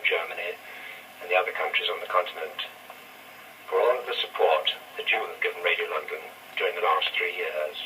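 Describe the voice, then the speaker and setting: Radio director, male, measured and formal, clear bright edge, low register, vintage off-mic broadcast sound. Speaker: managing director of a radio station. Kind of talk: formal farewell speech on radio. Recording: distant, hissy archive 1960s radio recording.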